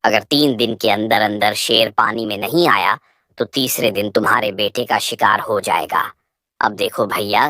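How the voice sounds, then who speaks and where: A woman's voice: extremely low pitch, a bit bright, no room sound, studio quality. A woman voicing a ruthless, scheming male crocodile who threatens and commands in a children's cartoon story.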